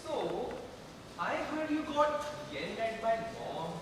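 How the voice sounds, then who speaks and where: A man's voice: very high-pitched, animated, slow, background noise, heavily acted. A man acting an earnest young character in a theatrical stage drama. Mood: teasing, earnest. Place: stage in a large hall. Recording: very distant, echoey hall with music.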